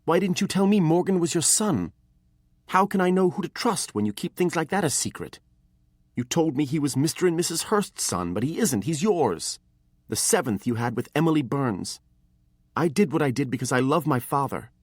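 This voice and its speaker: A man voicing an anxious, earnest teenage boy apprentice who talks fast, pleading and emotional, in a storybook reading. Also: mid-range voice, darkish tone, no room sound, clean studio sound, voice pushed up, lightly acted. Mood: angry.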